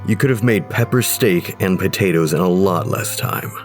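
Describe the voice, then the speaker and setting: An actor playing a brooding, bitter husband with a gruff, curt, belittling manner in an audio horror drama. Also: male voice, deep voice, moderate pace, soft background music, close to an everyday voice. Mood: sullen, demanding. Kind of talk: audio horror anthology acting.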